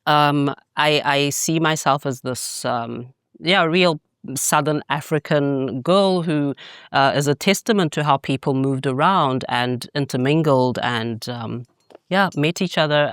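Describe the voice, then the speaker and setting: A middle-aged woman from Zimbabwe, introducing herself as a podcast guest in an unhurried way with frequent ums. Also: deep voice, clear, resonant tone, no echo, clean studio sound. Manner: warm, hesitant, many ums. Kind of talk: conversational self-introduction.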